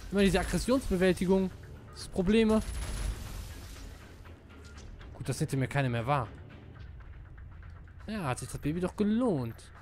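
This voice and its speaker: A man giving casual live gameplay commentary, excitable and slangy, dropping in English gamer words. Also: sits high, moderate pace, pauses often, faint music behind. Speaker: let's player.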